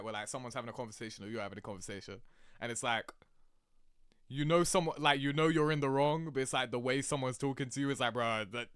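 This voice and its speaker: A young man giving casual, excitable reaction commentary as a game streamer, chatty and full of slang. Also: mid-range voice, smooth, dry recording, clean studio sound.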